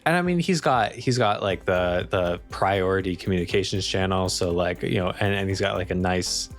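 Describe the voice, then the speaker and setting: A man's voice: low voice, normal speed, soft background music. A man running a tabletop game as game master, narrating casually and improvising as he goes.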